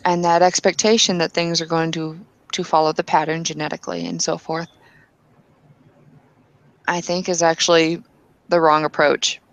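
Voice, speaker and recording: Gravelly-voiced woman roleplaying earnestly. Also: low voice, level tone, moderate pace, long pauses, dry recording, low-quality recording. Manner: earnest, hesitant, with restarts. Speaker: tabletop roleplaying player. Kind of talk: in-character roleplay dialogue.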